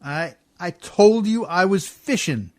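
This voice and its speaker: Male actor as riverboat skipper, radio drama, folksy, scrappy, lively swooping delivery, working-class plain talk. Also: high voice, slow. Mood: evasive, subdued. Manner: folksy, ungrammatical, plain-spoken. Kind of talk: radio play acting.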